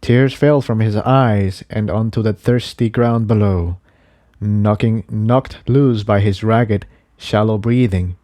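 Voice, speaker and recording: Mellow male story narrator, unhurried. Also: on the low side, steady tone, no room sound. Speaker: narrator, fan fiction reader.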